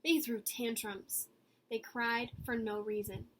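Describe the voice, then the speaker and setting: A woman voicing an earnest, eager good egg telling its own story from a picture book, with expressive pauses and emphasis. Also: on the high side, slightly rough, rough recording. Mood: exasperated, weary. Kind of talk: picture-book read-aloud.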